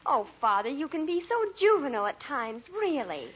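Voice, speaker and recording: An actress playing an earnest, lovestruck teenage daughter with dramatic delivery in a 1940s radio comedy. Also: female voice, high voice, sing-song, moderate pace, fairly bright tone. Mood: indignant, haughty. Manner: gushing, haughty. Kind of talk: 1940s radio sitcom acting. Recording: dull old radio, studio audience.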